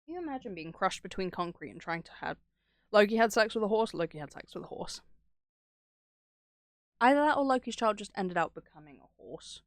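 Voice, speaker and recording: A woman's voice: medium pitch, animated, a little fast, dry recording, studio quality. A woman streamer chatting casually and rambling through stories in a sweary, irreverent way. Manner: acts out dialogue when retelling stories. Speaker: streamer reacting to horror videos. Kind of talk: casual rambling chat, storytelling.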